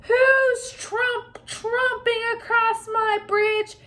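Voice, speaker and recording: A woman's voice: very high-pitched, little pitch movement, drawn-out words, pushed and bright, pitched above the performer's own voice, heavily acted. A woman voicing a hideous, bullying old male troll in a children's storybook, roaring and menacing. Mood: roaring, threatening.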